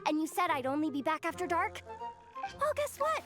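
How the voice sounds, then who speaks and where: A very high, animated young female underling from a video game, eager to please and easily rattled. She stammers nervously and swings freely in pitch, with clear music behind her. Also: moderate pace. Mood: excited, chatty.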